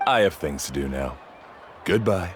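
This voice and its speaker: An actor playing a proud, aloof cave guide who talks down to clients in a video game. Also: male voice, rumbling low, animated, normal speed, background noise. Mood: curt. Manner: condescending, dismissive. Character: arrogant, aloof star cave guide, adult man.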